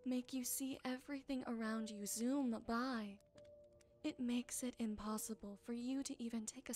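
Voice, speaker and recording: Wise forest witch played by a woman for ASMR roleplay: fairly high voice, tender, very unhurried, caring with a soft tease. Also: natural voice. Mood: calming.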